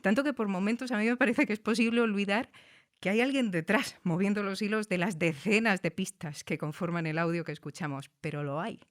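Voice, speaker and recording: A woman's voice: medium pitch, a little fast. A woman interviewing guests in a thoughtful, conversational way, recorded in a studio. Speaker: interviewer and host.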